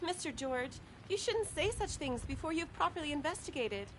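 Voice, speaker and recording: Game role: female actor as loyal, chiding assistant, brisk manner, high voice, noisy backdrop. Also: lively intonation. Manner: prim, chiding, encouraging. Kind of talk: video game character acting.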